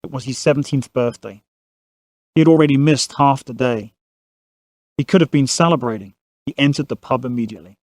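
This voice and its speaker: A man's voice: mid-range voice, a little fast, pauses often, darkish tone, dry recording, clean studio sound. A man narrating a family saga as a scripted audio drama storyteller.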